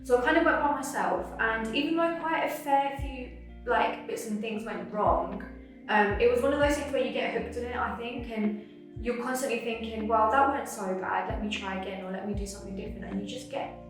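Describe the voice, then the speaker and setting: A woman's voice: on the high side, brisk, music in the background. A woman in her late twenties from England with an English accent, chatting casually in an interview. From Cambridge, England. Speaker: interviewee, travel content creator. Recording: very echoey room, distant microphone.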